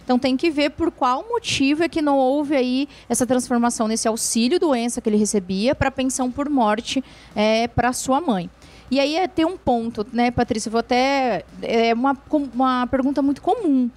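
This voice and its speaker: A woman's voice: high voice, normal speed, darkish tone. A woman lawyer answering viewer questions on a TV show, explaining patiently with frequent tag questions and restarts. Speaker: lawyer, guest expert.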